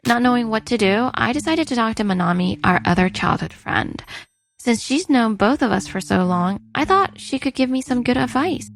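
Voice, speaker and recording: A woman's voice: fairly high pitch, lively intonation, normal speed, creaky, no room sound. A woman acting and narrating as a weary but outspoken wife in her thirties in a dubbed story. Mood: troubled. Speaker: narrator and lead character.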